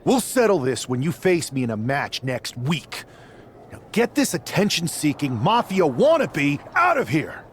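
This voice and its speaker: A man's voice: a little high, wildly swooping pitch, unhurried, a little hiss or hum. A man voicing a proud wrestler, cocky and confrontational. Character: proud man wrestler, top draft pick.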